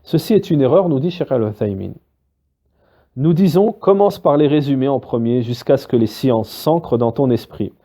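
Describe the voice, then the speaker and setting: A man teaching a spoken religious lesson, lively and full of fillers, acting out little dialogues between students. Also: mid-range voice, normal speed. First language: Arabic-Islamic terms mixed into French. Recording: dull lo-fi recording.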